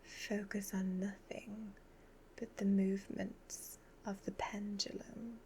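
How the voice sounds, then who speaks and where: Slow, soft-spoken evil witch played by a woman. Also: medium pitch, level tone. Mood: soothing, commanding. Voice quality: soft, breathy, half-whispered. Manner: slow, deliberate, instructing in measured phrases. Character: evil witch fortune teller, sly, commanding hypnotist. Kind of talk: soft-spoken ASMR roleplay.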